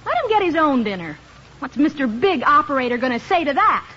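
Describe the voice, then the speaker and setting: An actress playing a hurt, sarcastic young housewife in a 1950s radio comedy. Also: female voice, sits high, big pitch swings, fairly quick, pushed and bright, exaggerated voice. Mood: aggrieved. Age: young adult. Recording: muffled, hissy 1950s radio recording.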